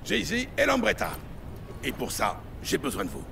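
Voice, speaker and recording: An actor playing a blunt, determined, streetwise police lieutenant in an audio drama. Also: male voice, mid-range voice, huge pitch swings, normal speed, gravelly, noisy background, full character voice. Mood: brisk, conspiratorial. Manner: blunt, slangy. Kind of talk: French audio drama acting.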